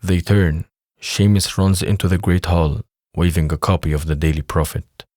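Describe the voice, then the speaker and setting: A man's voice: sits low, fairly even intonation, normal speed, darkish tone, no room sound, studio quality. A man reading scripted narration for an audio drama.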